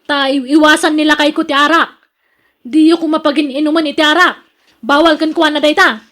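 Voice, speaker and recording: High-pitched jealous Ilocano wife, acted by a woman. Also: fairly even intonation, fairly quick, fairly bright tone. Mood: scolding. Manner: accusing, scolding, sarcastic. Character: jealous, nagging, suspicious wife of a working man. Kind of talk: radio drama acting.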